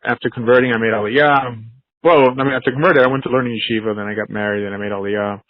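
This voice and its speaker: A man's voice: mid-range voice, fast talker, no echo, dull audio. A man, casually chatting and telling stories as host of a talk. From United States. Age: 40s. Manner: relaxed, chatty, storytelling. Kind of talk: casual conversation.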